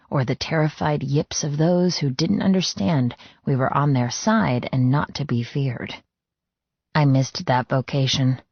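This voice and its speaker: A woman's voice: a bass voice, no room sound, muffled recording. A woman reading first-person fiction as an audiobook narrator, in a scripted storytelling style.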